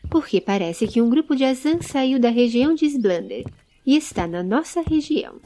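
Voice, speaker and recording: Mulher fazendo senhora calma e acolhedora, voz suave. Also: female voice, sits high, moderate pace. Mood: tranquilizadora. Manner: unalarmed, reassuring. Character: calm, caring lady of the treehouse.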